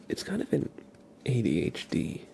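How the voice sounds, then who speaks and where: Male ASMR live streamer, whispery and breathy with vocal fry, rambling and conversational, light background noise. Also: medium pitch, wildly swooping pitch, moderate pace. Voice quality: soft breathy whisper, often unvoiced. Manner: rambling, conversational, addresses chat by name. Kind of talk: ASMR, whispered casual chat with viewers.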